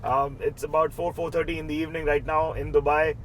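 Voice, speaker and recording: A man's voice: a little high, little pitch movement, fast talker, slight background noise, low-quality recording. A man hosting a casual interview show, upbeat and talking straight to the viewers. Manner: upbeat, speaks to viewers directly. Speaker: show host.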